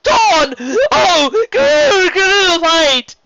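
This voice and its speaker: Lady doing a super dramatic sea serpent duke guy, high and swooping wildly, gushy storybook voice, bit muffled recording. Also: big pitch swings, heavy background noise, full character voice. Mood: anguished, melodramatic. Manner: theatrical wailing, drawn-out exclamations. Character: flamboyant, melodramatic male sea serpent duke, vain and gushing. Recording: slightly dull, lo-fi recording.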